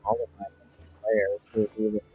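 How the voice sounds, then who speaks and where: A man's voice: fairly low pitch, monotone, highs cut off, faint music behind. A man hosting a livestreamed game as game master, speaking unscripted and often correcting himself as he goes. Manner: halting, self-correcting. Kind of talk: unscripted livestream show introduction.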